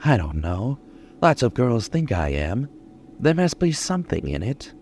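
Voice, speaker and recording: An actor playing a brooding, menacing male killer in old-time radio suspense style, intense, halting and obsessive. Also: medium pitch, sing-song, a little mellow, slight background noise. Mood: uneasy, evasive.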